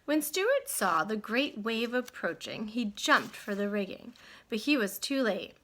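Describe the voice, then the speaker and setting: A woman teacher reading a storybook aloud to children in an expressive, guiding way. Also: fairly high pitch, a little slow. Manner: addresses children directly, guiding them with questions. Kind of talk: read-aloud lesson, expressive narration.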